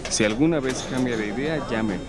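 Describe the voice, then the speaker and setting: Man playing affable English gentleman, old-world courtesy, measured polite delivery, audiobook drama, medium pitch, crowd murmur behind. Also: natural voice. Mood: courteous, inviting. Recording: dialogue over clear crowd ambience. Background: public-place chatter.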